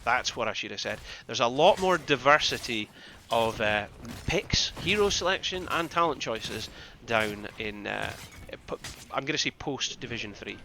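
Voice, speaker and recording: A man from the Scottish Highlands with a Scottish accent, casting a game live in a conversational, rambling way and repeating phrases for emphasis. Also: lively intonation, normal speed, gravelly, hardly any pauses, pushed and bright, slight background noise. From Scottish Highlands, northeast Scotland. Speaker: esports caster and streamer. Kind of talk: live game commentary with casual asides to chat.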